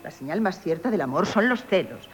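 An actress with a Castilian Spanish accent playing a witty, proud young innkeeper in a theatrical radio comedy, teasing and emphatic. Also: female voice, fairly low pitch, very animated, a little fast. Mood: coolly provoking. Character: witty, coquettish, proud young female innkeeper, mock-humble. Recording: hissy 1970s radio broadcast.

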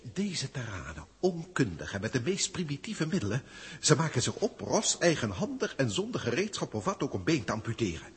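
Grandiose senior alien, highish, swooping, theatrical, in long reverb, sci-fi radio play. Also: male voice, big pitch swings, fairly quick, husky. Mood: astonished, agitated. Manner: theatrical, emphatic, emotional. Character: passionate senior alien overseer, male, grandiose. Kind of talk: 1960s Dutch science-fiction radio acting. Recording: long echoing reverb, old radio recording.

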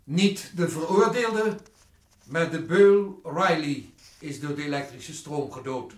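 A man's voice: fairly high pitch, slow pace, slight background noise. Stern, formal male prison director for radio drama, commanding, set back from the mic in an echoey space. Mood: formal, grave. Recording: echoey room, distant from the mic.

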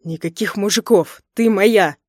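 A woman's voice: on the low side, steady tone, moderate pace, a little mellow, no echo, studio quality, natural voice. Woman acting a stern, jealous, sarcastic young husband. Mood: possessive fury. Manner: biting, sarcastic, growling delivery.

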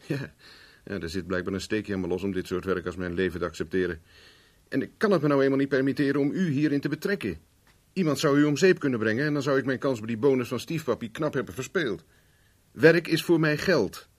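An actor in a 1970s Dutch radio play, playing a cocky private investigator with a dry, teasing, self-mocking manner. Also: male voice, mid-range voice, lively intonation, brisk, lightly acted. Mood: firm, explaining. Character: wry, cocky male private investigator for hire.

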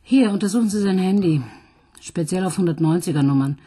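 An actress playing a brisk, dryly ironic police detective in a radio crime drama. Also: female voice, fairly low pitch, dark tone, soft background music, close to an everyday voice. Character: busy female murder detective, dry and brisk.

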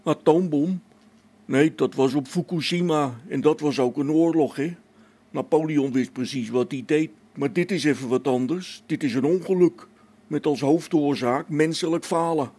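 A man's voice: fairly high pitch, normal speed, mellow, lightly acted. An amateur actor in a radio play as an anxious space station technician, a man who stammers and hesitates, agitated. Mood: flustered, weary.

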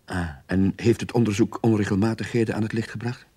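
An actor playing a reluctant, put-upon underling in a radio play, dry and pointed, who also narrates. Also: male voice, fairly low pitch, steady tone, brisk, warm tone, slightly rough. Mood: politely official. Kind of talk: radio play acting with first-person narration.

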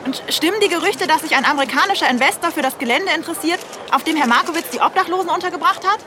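A pushy reporter in a radio satire. Her voice is very high, with a clear crowd and noise around her. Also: rapid-fire. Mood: probing. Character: pushy female news reporter seeking statements. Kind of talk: German satirical radio drama.

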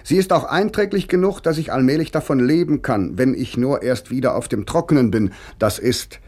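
A man's voice: mid-range voice, brisk, a little mellow, close to an everyday voice. A man plays an eighteenth-century man of letters in a mid-century German radio drama. His voice sits in a middle register. He speaks his letters as spirited, articulate conversation. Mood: optimistic.

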